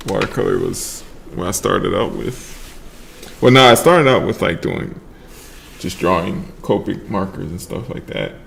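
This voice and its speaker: A man casually chatting on a livestream while he paints, relaxed and slurring his words together, trailing off mid-sentence. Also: low voice, measured pace, vocal fry, a little hiss or hum. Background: faint lo-fi background music.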